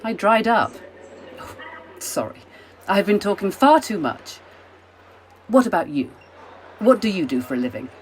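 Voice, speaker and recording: A woman's voice: very animated, a little slow, pauses often. A woman playing a polite, talkative, slightly apologetic British middle-class traveller in a radio play. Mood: chatty. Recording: faint noise, train rumble.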